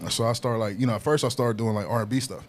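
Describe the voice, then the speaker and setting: A man with a deeper voice telling stories in casual podcast talk, laid-back and peppered with "you know what I mean". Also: fairly even intonation, fast talker, slight background noise. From Southern California. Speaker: guest hip hop artist.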